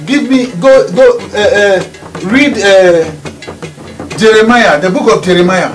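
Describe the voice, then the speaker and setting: Ghanaian man, high voice, preaching lecture, repeats points for emphasis, faint music underneath. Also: measured pace, low-quality recording. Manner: emphatic and repetitive, hammering points with restatement. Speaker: traditional spiritual teacher.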